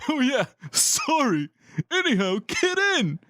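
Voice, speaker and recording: A woman's voice: high-pitched, sing-song, slow, a bit bright, no room sound, studio quality, voice pushed up, heavily acted. A woman voicing a sheepish, apologetic gatekeeper underling in a manga read-aloud.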